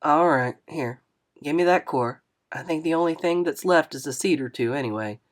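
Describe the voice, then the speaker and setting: A kind older man who has raised kids and grandkids, teasing in a dry, fatherly way, as a woman reads him lower and softer. He sounds like an audiobook character. His lines land as wry jokes from a gentle storyteller. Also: moderate pace, natural voice.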